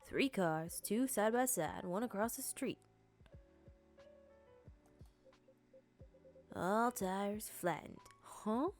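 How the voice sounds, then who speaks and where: A woman's voice: mid-range voice, animated, slow pace. A woman voicing an older male police officer in a game, recounting his night patrol in a self-satisfied way. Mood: dramatic.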